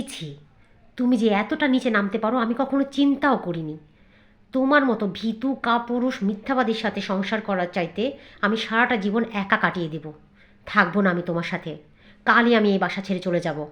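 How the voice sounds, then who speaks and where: A woman's voice: mid-range voice, a bit bright, lightly acted. A woman voicing a hurt, defiant young working wife in a cartoon, firm and outspoken. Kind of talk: cartoon voice acting.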